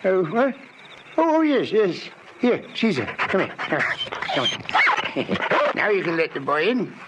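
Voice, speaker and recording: A high, animated old man's voice, a fussy smallholder in an old radio serial. He is excitable and wary, on a clearly noisy recording. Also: a little slow. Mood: flustered, obliging. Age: older. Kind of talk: vintage radio drama acting.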